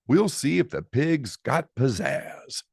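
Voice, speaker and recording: A man's voice: mid-range voice, sing-song, slow, gravelly, darkish tone, slightly rough, no room sound, studio quality, exaggerated voice. A man voicing a doubtful, sassy female skating teacher who ponders skeptically in a children's storybook.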